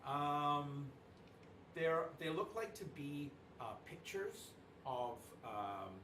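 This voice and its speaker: A man's voice: a little high, animated, extremely slow, echoey room, distant mic, noisy background. A man narrating as game master of a tabletop horror game, rambling with many fillers and restarts.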